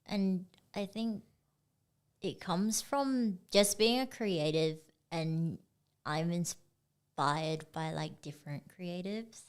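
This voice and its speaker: A young woman from Singapore, guest artist chatting casually in an interview, relaxed and full of "like" and "um". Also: lowish voice, slow, clean tone. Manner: relaxed, many fillers like "like" and "um".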